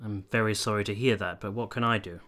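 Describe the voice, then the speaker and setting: An actor playing a reserved, courteous army captain in a period radio drama, speaking in formal, measured phrases. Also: male voice, on the low side, steady tone, fairly bright tone, lightly acted. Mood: polite, guarded. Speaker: narrator and lead actor.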